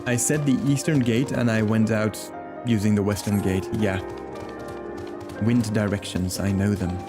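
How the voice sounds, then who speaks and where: A man's voice: fairly low pitch, steady tone, measured pace. Chatty man streaming a game. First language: Flemish. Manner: chatty and friendly, greets chat by name, laughs often. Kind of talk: casual live-stream chat. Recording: game music in the background.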